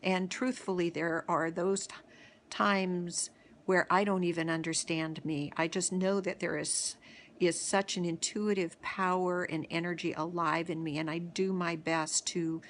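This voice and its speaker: Woman, middle-aged, low voice, very slow, halting, reflective storytelling, video host. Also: steady tone, slight background noise. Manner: halting, with many pauses and restarts. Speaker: host of a daily video series.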